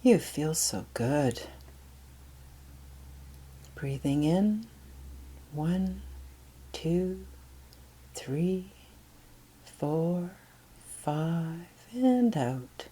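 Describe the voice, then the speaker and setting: Female meditation guide: lowish, relaxed tone, gentle and breathy, extremely unhurried instruction. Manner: slow, gentle, unhurried counting and pauses.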